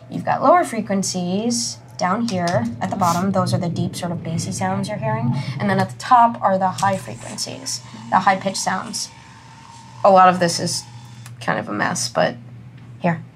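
A woman's voice: on the low side, a little hiss or hum, natural voice. An actress playing a confident, exacting female audio analyst in a naturalistic, explanatory and assertive way. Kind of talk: naturalistic audio drama acting.

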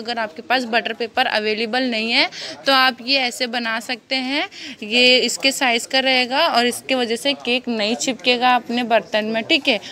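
A woman's voice: fairly high pitch, pushed and bright. A young woman explaining a home recipe step by step in a casual, chatty way with many fillers, filmed on a phone in her kitchen. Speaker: cooking vlogger. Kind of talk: casual step-by-step cooking demo. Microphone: phone camera filming hands from above.

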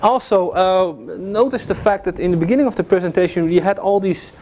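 A Dutch-accented man in his thirties lectures casually, his voice sitting rather high for a man. He talks loosely with plenty of fillers and the odd joke as he walks about the room. A camera picks him up from across the classroom, with a faint hum of ambience behind him. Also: a little fast, darkish tone. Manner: loose, joking, many fillers, walks and points at slides. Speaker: guest lecturer. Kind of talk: informal technical lecture. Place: classroom with projector. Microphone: far camera, no visible mic.

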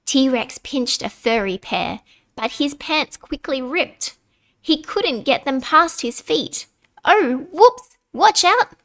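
Slow, higher-voiced woman reading a storybook. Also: lively intonation, fairly bright tone. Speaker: storybook reader. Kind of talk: expressive children's picture book read-aloud.

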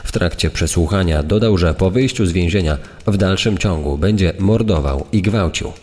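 An actor playing a solemn 1930s press reporter, reading a lurid trial account in formal style. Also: male voice, low-pitched, moderate pace, a little mellow, close to an everyday voice. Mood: grave, sensational. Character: grave 1930s newspaper reporter recounting a trial. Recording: long hall reverb.

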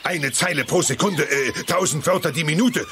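An actor playing a naive, good-natured talking elephant in a children's audio drama, halting and earnest. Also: male voice, a little high, pushed and bright, slight background noise. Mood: eager, earnest.

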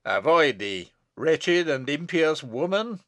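A man's voice: fairly high pitch, animated, slow pace, fairly bright tone, no room sound. A man voicing a stern, wrathful abbot in solemn, declamatory archaic speech for a storybook reading. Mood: wrathful, condemning. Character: stern, wrathful abbot pronouncing a prophetic curse.

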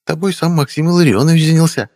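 A man's voice: fairly high pitch, fast talker, mellow, pitched above the performer's own voice, lightly acted. A man doing a kindly motherly nurse. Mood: soothing. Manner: soothing, homely and motherly. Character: kindly middle-aged female army-hospital nurse. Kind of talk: audiobook character voice.

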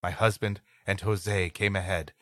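A man's voice: low-pitched, fairly even intonation, measured pace, a bit bright, no echo, clean studio sound. A man co-hosting a comedy podcast, reading a story aloud and playfully breaking off to riff. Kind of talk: story reading broken up by comic banter.

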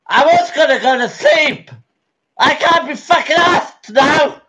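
A man answering a call, shouting and swearing furiously, close to tears. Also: very high-pitched, a little slow. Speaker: call recipient. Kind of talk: angry phone rant. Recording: loud background noise.

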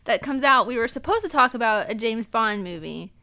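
A woman's voice: a little high, lively intonation, brisk, sharp tone. A woman hosting a podcast in casual chat, laughing often, her voice a little worn. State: slightly losing her voice. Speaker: podcast host.

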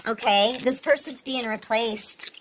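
A woman's voice: on the high side, unhurried, a bit bright, a little hiss or hum, rough recording. A woman giving a casual, reassuring tarot reading, speaking straight to the listener and often checking in. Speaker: tarot reader, host.

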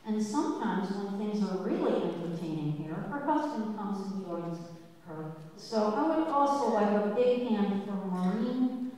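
A woman in her sixties, a church organist, speaking into a handheld mic to an audience in a large church, chatty and wry with many pauses. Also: medium pitch, animated, mellow. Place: large church sanctuary with balcony. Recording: echoey church hall, distant camera.

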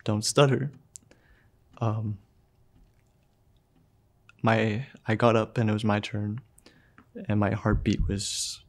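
Soft, halting young man. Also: fairly low pitch. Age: young adult. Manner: halting, soft-spoken, with pauses and fillers. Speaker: student filmmaker narrating his own story. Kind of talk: personal narration for a short film.

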